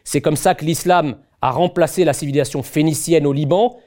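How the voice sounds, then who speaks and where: A man's voice: fairly high pitch, fairly quick, warm tone. A man from Egypt arguing as a debate guest, combative and sarcastic, often cutting in. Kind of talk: heated debate.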